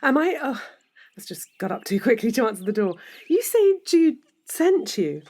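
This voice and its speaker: A woman's voice: a little high, very animated, moderate pace, a little mellow. A woman with a British accent playing an anxious, yearning middle-aged professional in an audio drama, with an emotional, hesitant, well-spoken delivery. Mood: flustered.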